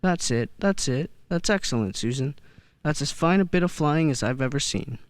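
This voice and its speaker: An actor playing a kindly old Santa figure in a radio play, gentle and hesitant, often repeating words. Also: medium pitch, lively intonation, moderate pace, dry recording, close to an everyday voice. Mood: encouraging. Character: kindly old man who believes he is Santa. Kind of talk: stage-style radio play acting.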